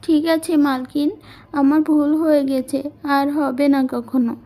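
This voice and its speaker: Woman as a high, mellow young village maid. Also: flat delivery, takes it slowly. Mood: meek, polite. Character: devoted, firm, polite young village maid daughter.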